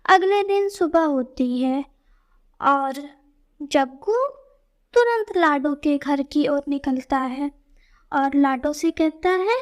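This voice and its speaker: A woman tells a children's story from a script. Her voice sits high, and she takes the narration slowly, phrase by phrase.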